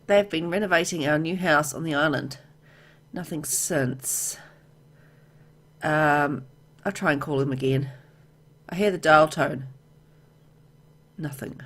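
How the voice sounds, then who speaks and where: A woman with a low voice narrates a story game aloud in a let's play style. She reads the text as a story and drops in casual remarks of her own as the player. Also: a little slow, long pauses, fairly bright tone. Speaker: gamer reading a visual novel aloud.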